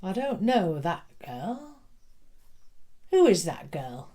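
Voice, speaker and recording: A woman's voice: fairly low pitch, very animated, takes it slowly, mellow, full character voice. A woman voicing a stern, pompous male schoolmaster who makes clipped, commanding pronouncements in a dramatic audiobook reading. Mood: stern, disapproving.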